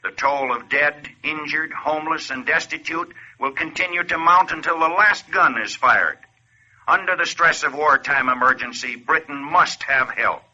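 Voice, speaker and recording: A man's voice: on the high side, normal speed, sharp tone. A male actor playing a grave, urgent wartime narrator, declaiming a scripted 1940s radio appeal. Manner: solemn, declamatory. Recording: dull lo-fi 1940s radio recording.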